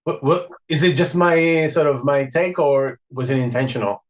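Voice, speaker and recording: A man's voice: on the high side, normal speed. Earnest man, rambling interview questions. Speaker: podcast host.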